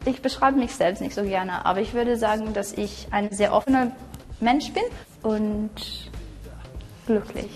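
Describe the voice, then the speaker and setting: A woman's voice: medium pitch, unhurried. Australian-accented woman, early twenties, self-introduction in long reverb over music. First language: English. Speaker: student contestant.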